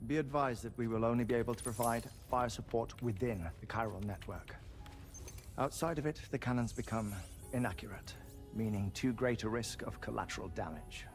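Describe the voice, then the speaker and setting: Formal, careful crewman played by a man, mid-pitched. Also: normal speed, faint music behind, close to an everyday voice. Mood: businesslike. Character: matter-of-fact male crewman advising on fire support. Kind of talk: video game cutscene acting.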